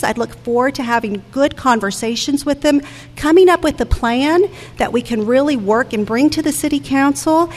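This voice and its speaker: A woman in her forties giving earnest campaign remarks as a council candidate, speaking directly to listeners. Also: high voice. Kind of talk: prepared campaign remarks at a public forum. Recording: echoey hall with background noise.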